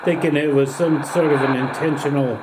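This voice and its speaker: A man's voice: a little high, fairly even intonation, brisk. A man in his sixties talks casually and nostalgically, musing like a hobbyist sharing memories. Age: 60s. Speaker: shortwave radio hobbyist. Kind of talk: casual reminiscing monologue. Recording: loud radio static and hum. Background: shortwave radio noise and droning signals.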